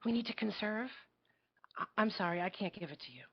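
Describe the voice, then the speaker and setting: A woman's voice: medium pitch, expressive, a little fast, slightly rough, no room sound, dull audio. A woman at a live table read playing a polite, earnest young girl activist who speaks hesitantly with small stammers. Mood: earnest, insistent.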